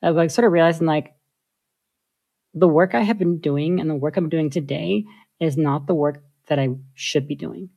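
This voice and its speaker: Gravelly, husky woman. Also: deep voice, moderate pace, dark tone. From United States. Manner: thoughtful, many filler likes. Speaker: guest, software engineer. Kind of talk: casual interview talk.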